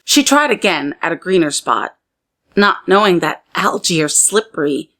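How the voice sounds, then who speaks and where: A woman narrating a children's story aloud as a read-aloud storyteller, with expressive, deliberate phrasing meant for young listeners. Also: lowish voice.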